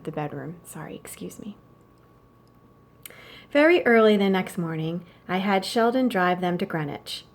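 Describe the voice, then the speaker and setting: A woman with a mid-pitched voice reads a children's novel aloud as a teacher would. Her delivery is measured and explanatory, with short asides to the listeners. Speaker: teacher reading aloud to students.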